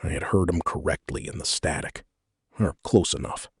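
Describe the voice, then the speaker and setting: A man's voice: extremely low pitch, normal speed, gravelly, breathy edge, no room sound, studio quality. A man with a Canadian accent reading a first-person story as scripted audiobook narration.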